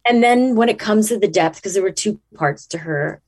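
A woman actress talking casually in an interview, thinking aloud and restarting her sentences, her pitch jumping up when she makes a point. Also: animated, moderate pace, a little mellow, husky. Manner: rises in pitch when emphatic.

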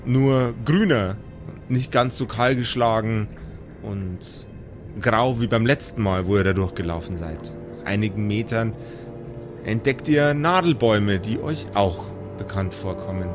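A man narrating an improvised fantasy tabletop story as game master, in a measured, atmospheric way. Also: fairly low pitch, over music.

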